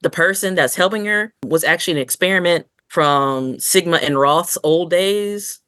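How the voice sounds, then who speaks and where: A woman co-hosting a podcast in casual review chat, telling things in an expressive, story-like way. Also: on the low side, moderate pace, smooth, no room sound, studio quality. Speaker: podcast co-host.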